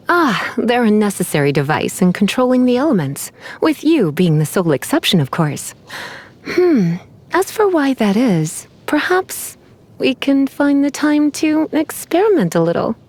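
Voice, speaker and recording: Sultry, teasing sorceress, lilting voice. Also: female voice, fairly high pitch, darkish tone. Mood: playful, coy. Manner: sultry, teasing, unhurried, coy. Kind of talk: video game character voice lines.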